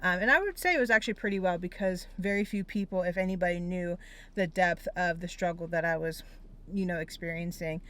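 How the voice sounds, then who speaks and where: A woman's voice: fairly low pitch, a little fast, a bit bright. A young American woman speaking earnestly and reflectively, sharing her personal story in a vlog-style monologue. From Pennsylvania, United States. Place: inside a parked car on a rainy day.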